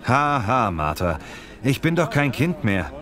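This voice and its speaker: A man's voice: medium pitch, very animated, unhurried, fairly bright tone. A man playing a lazy, muscle-proud Italian language learner in a scripted lesson dialogue, speaking in simple, complaining phrases. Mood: indignant.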